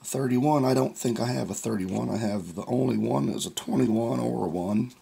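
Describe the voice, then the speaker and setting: A man hosting his own scratch-off ticket channel, hoarse and raspy after losing his voice, talking in a slow, plain way and reading numbers out one by one. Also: mid-range voice, mellow. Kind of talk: casual vlog narration.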